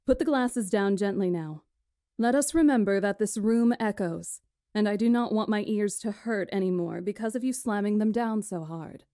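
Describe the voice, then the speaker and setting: A woman playing a poised, commanding witch who tells history in a formal, deliberate way for a visual novel. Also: mid-range voice, warm tone, dry recording, clean studio sound, natural voice. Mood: stern, formal. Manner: formal, deliberate, imperious storytelling. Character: poised, commanding witch storyteller lecturing a council.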